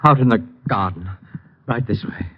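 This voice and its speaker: An actor playing a shaken, overly polite widower who stammers, in an old radio drama. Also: male voice, fairly low pitch, normal speed, breathy edge. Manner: hesitant and stammering. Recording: muffled old radio broadcast with faint noise.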